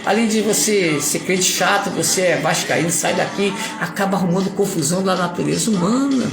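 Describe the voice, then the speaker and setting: A man's voice: high voice, a little fast, gravelly. A man from Rio de Janeiro with a Carioca accent, preaching a rambling, emphatic sermon with playful asides. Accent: Carioca Portuguese. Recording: echoey room with music behind.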